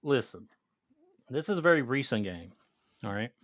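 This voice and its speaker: A man's voice: medium pitch, very animated, normal speed, fairly bright tone, dry recording. Casual man podcasting, dry close sound. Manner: profane, slangy, enthusiastic. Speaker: podcast co-host. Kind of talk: casual chat about video games.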